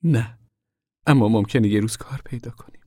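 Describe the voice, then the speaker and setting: Man voicing a timid, whining poor mother, raised pitch. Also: a little mellow, husky, no echo, clean studio sound. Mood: meek, ashamed. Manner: whining, stammering, meek. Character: small, timid, ashamed poor mother, defensive and pleading.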